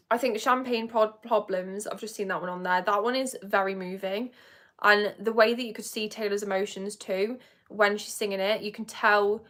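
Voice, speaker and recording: A woman's voice: a little high, fairly bright tone. A young woman hosting a livestream, chatting casually with viewers in a rambling, giggly way. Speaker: beauty livestream host. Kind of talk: casual chat with live viewers. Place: home, indoors. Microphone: phone or webcam.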